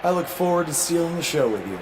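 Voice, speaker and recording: Young underdog game wrestler played by a man: modest, earnest, slightly high voice, faint background noise. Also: animated, fast, close to an everyday voice. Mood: sincere. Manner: sincere, modest. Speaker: professional wrestler. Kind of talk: video game dialogue.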